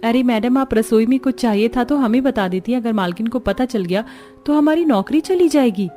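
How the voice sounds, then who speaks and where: A woman's voice: on the high side, normal speed, dark tone, soft background music, natural voice. A woman voicing a deferential, slightly anxious housemaid in a cartoon. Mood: anxious, helpful.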